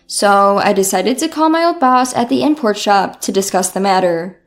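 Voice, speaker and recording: A woman's voice: mid-range voice, expressive, normal speed. A woman reading a scripted first-person story as a storytime narrator. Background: music.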